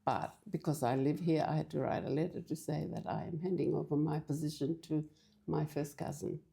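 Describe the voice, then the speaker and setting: Low, mellow-voiced older woman from Fiji. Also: steady tone, vocal fry. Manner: hesitant, halting with many restarts. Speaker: church interview guest. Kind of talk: casual interview conversation.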